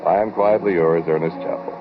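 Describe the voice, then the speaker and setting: A man's voice: deep voice, fairly even intonation, brisk. A man hosting a 1940s radio show, announcing credits and signing off. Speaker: radio show host and lead actor. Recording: muffled 1940s radio recording. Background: music.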